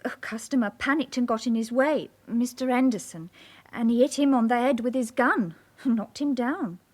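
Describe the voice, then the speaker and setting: A woman's voice: a little high, normal speed, darkish tone, lightly acted. A woman acting an obliging bank clerk in a radio drama, giving a detailed, orderly account. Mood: shaken, recounting.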